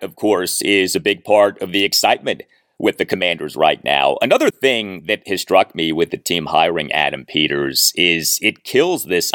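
A man hosting a sports podcast, offering conversational analysis in deliberate, emphatic, structured phrases. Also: low voice, fairly bright tone, no room sound, clean studio sound.

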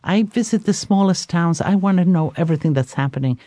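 A woman's voice: lowish voice, fairly quick, dark tone, no room sound, studio quality. An older woman chef from Istria with an Italian accent, telling her story in a radio interview, with many fillers. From Istria, Italy/Croatia. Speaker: chef, guest.

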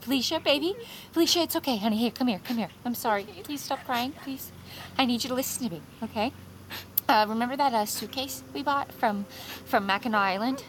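A woman's voice: on the high side, brisk, slight background noise, natural voice. An actress playing an anxious, protective mother in an audio drama, urgent and prone to stammer and repeat herself. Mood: urgent soothing. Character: anxious, fiercely protective mother of a young girl. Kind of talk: immersive audio drama acting.

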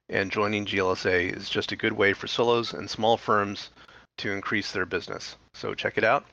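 A man's voice: lowish voice, gravelly, sharp tone. A man hosting an interview teleconference, reading a sponsor note and then chatting loosely with fillers. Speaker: podcast host. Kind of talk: interview host, reads sponsor intro. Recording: dull dry teleconference audio.

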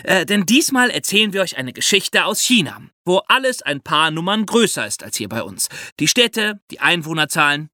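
Bright, higher-pitched man, lively delivery. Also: normal speed, few pauses, dry recording, clean studio sound. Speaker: podcast co-host. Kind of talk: casual scripted podcast chat for young listeners.

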